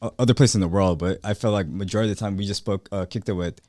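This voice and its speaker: A man, telling his story in a relaxed casual chat. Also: low voice, racing, no echo, studio quality. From United States. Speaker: guest.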